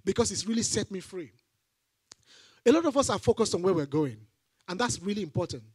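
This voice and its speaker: A man's voice: sits high, animated, brisk, husky, no echo. A middle-aged man preaching a sermon on a church stage into a handheld mic, building to emphatic repeated phrases. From Nigeria.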